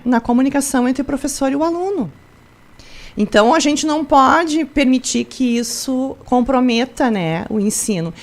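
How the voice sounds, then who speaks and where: A woman from Brazil, a school principal, answering interview questions in an explanatory way, with frequent tag questions and restarts. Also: on the high side. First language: Portuguese.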